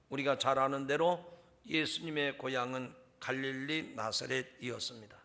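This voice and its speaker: Bright-voiced Korean pastor preaching. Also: male voice, fairly high pitch. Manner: measured preaching, rising in intensity when telling the scripture story. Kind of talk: sermon.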